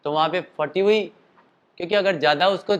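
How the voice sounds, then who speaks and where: A man's voice: high-pitched, a little fast. Tired-throated man teaching voice. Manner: explanatory, chatty, demonstrates calls and sung notes. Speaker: singing and voice teacher. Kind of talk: casual spoken voice lesson.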